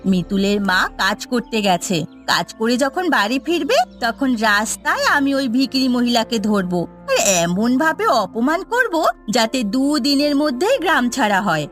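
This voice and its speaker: A woman's voice: on the high side, very animated, moderate pace, fairly bright tone. A woman voicing a scheming, spiteful village mother who dotes on her son, conspiratorial and commanding, in a fairy-tale cartoon. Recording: music under the voice.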